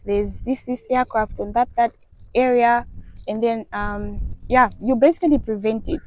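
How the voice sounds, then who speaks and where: A woman's voice: on the high side, fairly even intonation, normal speed, rough recording. A woman in her twenties with a Zambian accent, explaining things informally as a student interviewee, emphatic and repeating phrases for stress. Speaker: air traffic control student, interviewee.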